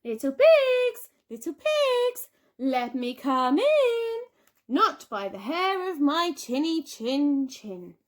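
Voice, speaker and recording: A gushing grown-up pig from a children's storybook, acted by a woman. The voice is high and sing-song, cooing slowly with big swoops in pitch. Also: sing-song, exaggerated voice. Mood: playful cooing.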